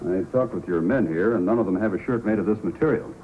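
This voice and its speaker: Calm authoritative mountie hero guy acting it, old radio show, bit of hiss. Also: on the low side, fast talker, close to an everyday voice. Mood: businesslike. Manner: authoritative, methodical questioning. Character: calm, courteous Mounted Police sergeant hero. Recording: noisy old radio recording.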